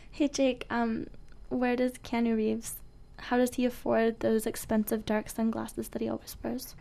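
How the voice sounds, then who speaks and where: High-voiced, creaky woman chatting on radio. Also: level tone, a little slow, pauses often, mellow. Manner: giggly, joking, loose and unscripted. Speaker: radio show co-host. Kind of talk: casual co-hosted radio chat. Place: radio studio.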